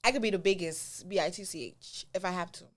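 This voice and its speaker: A woman's voice: on the low side, fast talker. A young woman with a Caribbean accent, chatting casually as a podcast co-host in a blunt, emphatic, slangy way. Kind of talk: casual chat.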